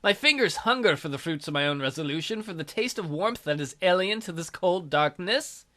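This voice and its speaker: A man hosting a let's play, reading a visual novel aloud in a theatrical, expressive way. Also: fairly high pitch, fairly quick. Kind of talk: dramatic read-aloud of a visual novel, with casual asides. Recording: music behind the voice.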